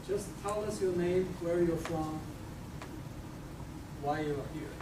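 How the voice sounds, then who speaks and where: A man hosting a workshop, addressing the group informally in a hesitant, halting way. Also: a little high. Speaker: workshop host. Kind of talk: informal spoken introduction to a group. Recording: noisy, echoey room, far from mic.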